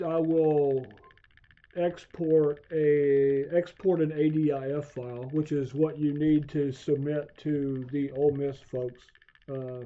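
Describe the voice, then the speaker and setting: A man giving an unscripted screencast tutorial, haltingly thinking aloud as he demonstrates software. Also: on the high side. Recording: dull, lo-fi voice recording.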